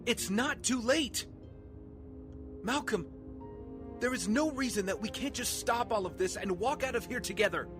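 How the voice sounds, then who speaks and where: A man's voice: very high voice, animated, normal speed, long pauses, over music. An actor playing an earnest, guilt-ridden young male hero in an audio drama, pleading emphatically. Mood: impassioned, pleading.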